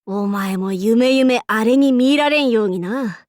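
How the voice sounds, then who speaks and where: A woman playing a brash, cocky young feudal warlord in an anime game, with rough, masculine, archaic and boastful speech. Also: fairly high pitch, a little slow, darkish tone, no room sound, clean studio sound, close to an everyday voice. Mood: musing, curious. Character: brash, cocky young feudal warlord, self-styled fool, fiercely loyal. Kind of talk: anime game character voice acting.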